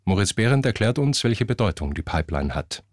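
A man's voice: fairly low pitch, big pitch swings, fairly quick, no echo, clean studio sound. A man presenting a scripted radio news bulletin.

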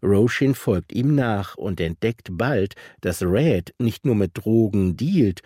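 A man with a low, mellow, slightly husky voice narrates a radio culture feature. His pitch moves a lot, and the recording is dry and close. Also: animated, slow pace, dry recording. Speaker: culture reporter.

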